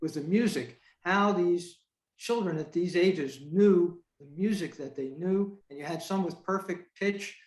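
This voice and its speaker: Guy asking questions on zoom call, kinda high voice, rambly, echoey. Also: unhurried, a little mellow. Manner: rambling, reworking the question as he goes. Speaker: interviewer. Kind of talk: casual unscripted interviewing. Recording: echoey video call audio.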